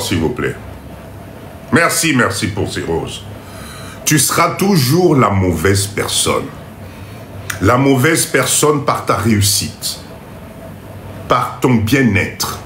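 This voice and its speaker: A man with a Congolese French accent speaks in a lively, swinging pitch, congested from a cold. He hosts a motivational live stream, urging listeners with emphatic repetition. The room echoes and there is faint noise behind him. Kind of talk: motivational live talk to viewers. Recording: roomy echo, faint background noise.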